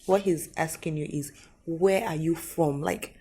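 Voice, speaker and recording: A woman plays a young daughter with a low, mellow voice. She explains things patiently and quickly for her father. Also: slightly rough, heavily acted.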